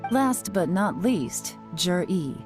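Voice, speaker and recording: A woman playing a poised, shrewd investigator in a video game, speaking in a composed, deliberate and analytical way. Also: on the low side, expressive, music in the background. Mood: cool, analytical.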